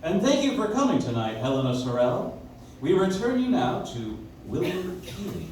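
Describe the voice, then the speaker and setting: An actor playing a genial 1940s radio announcer with a polished sales patter. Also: male voice, high-pitched, wildly swooping pitch, moderate pace, a little mellow. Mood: selling. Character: genial radio announcer selling soap flakes. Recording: echoey, distant, noisy audience-member recording.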